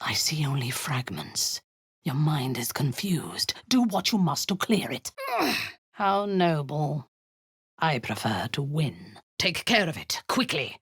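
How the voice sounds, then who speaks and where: A ruthless drow priestess who leads raids without mercy, speaking in an unhurried, husky voice. Her tone rises and falls widely, from whispered menace to barked orders. The game recording is close and dry. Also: low-pitched, sing-song, no room sound, heavily acted. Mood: commanding. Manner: commanding, menacing, often hushed and hissing. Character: ruthless drow priestess commander, proud and cold. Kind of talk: video game voice acting.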